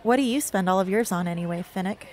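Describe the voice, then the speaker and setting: A woman's voice: medium pitch, fast, a little mellow, a little hiss or hum, natural voice. A young woman playing a blunt, guarded teenage girl in an amateur radio drama, with a terse, dry delivery. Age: young adult. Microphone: close studio microphone.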